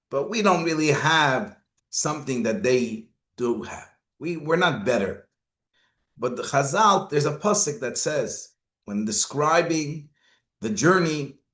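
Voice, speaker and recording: Animated rabbi teaching in an echoey room. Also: male voice, fairly high pitch. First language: not Hebrew. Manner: rhetorical questions, Hebrew and Yiddish terms. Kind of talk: Torah lecture.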